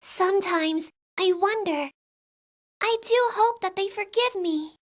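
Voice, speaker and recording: A woman's voice: very high-pitched, takes it slowly. A woman playing an earnest, affectionate, sheltered young princess with a girlish manner in a video game fandub. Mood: hopeful, shy.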